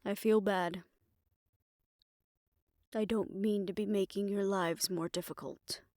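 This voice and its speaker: A woman playing a tender, world-weary lover in a fantasy audio roleplay, speaking in a measured, reflective and intimate way. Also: medium pitch, fairly even intonation, no room sound, clean studio sound, lightly acted. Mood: apologetic. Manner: measured, reflective, formal phrasing, soft hushed moments. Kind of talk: romantic audio roleplay, intimate scripted monologue.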